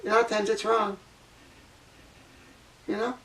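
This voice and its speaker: A woman with a low voice giving a blunt opinion monologue, repeating her points for emphasis. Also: flat delivery, moderate pace, far from the mic, low-quality recording. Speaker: vlogger.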